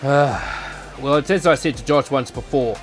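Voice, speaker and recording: A cocky young male fighter from a visual novel, acted by a man. He has a medium-pitched voice and a twisted streak. Music plays faintly behind him. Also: normal speed, natural voice. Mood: calm, reflective. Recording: voice over background music.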